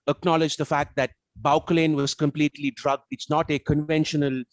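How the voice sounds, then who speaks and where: A man who grew up speaking Tamil talks through his argument as a guest reviewer. His voice sits somewhat high and the sound is dry. He reasons carefully, often doubling back on his words as he goes. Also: level tone, fairly quick, dry recording. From South Asia, Tamil-speaking region. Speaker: guest reviewer on a book discussion. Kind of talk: casual analytical discussion.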